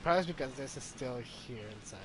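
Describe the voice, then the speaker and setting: A man's voice: medium pitch, expressive, fast talker, noisy background. A man giving casual live gameplay commentary, hesitant and thinking aloud as he goes. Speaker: game streamer. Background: game music.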